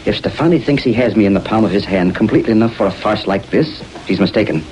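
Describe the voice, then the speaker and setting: Male actor as dignified judge; low voice, formal, indignant, vintage radio crime drama. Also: quick pace, darkish tone, slight background noise, natural voice, low-quality recording. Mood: baffled, indignant. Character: stern, dignified male judge under pressure. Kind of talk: 1930s radio drama acting.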